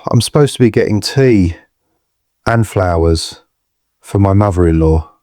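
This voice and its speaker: A low-pitched man as a kindly, shaken reluctant rescuer in an audio drama. He mutters hesitantly and offers reassurance, in a dry, close recording. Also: animated, a little slow, dark tone, no room sound. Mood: flustered.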